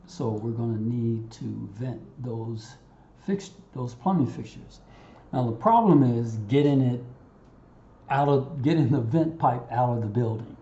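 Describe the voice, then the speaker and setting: A man giving an unscripted software tutorial over a screen recording, haltingly thinking aloud and talking to himself as he works. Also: mid-range voice. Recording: roomy, muffled, audible background noise.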